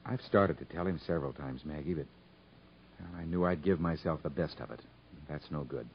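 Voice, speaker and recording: Low-voiced, troubled father in old radio. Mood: troubled, subdued. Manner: halting, understated. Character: weary, plain-spoken father and ex-convict, tender but troubled. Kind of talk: 1950s radio drama acting. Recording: muffled, lightly hissy 1950s radio recording.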